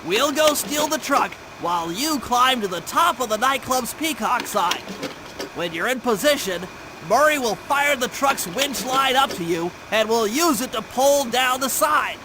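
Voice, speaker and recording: A man's voice: extremely high pitch, lively intonation, unhurried, noisy background. A man voicing a nerdy, brainy mission planner in a video game, briefing in a precise, pedantic way. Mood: focused, instructive. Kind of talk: video game cutscene acting. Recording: background music.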